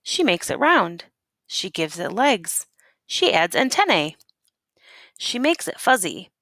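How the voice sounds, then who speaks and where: A woman reads a children's storybook aloud like a teacher, unhurried and very expressive in pitch. She leans on key words and puts questions to her young listeners. The sound is close and dry. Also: dry recording. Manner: deliberate, dramatic emphasis on key words. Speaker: teacher reading a picture book aloud.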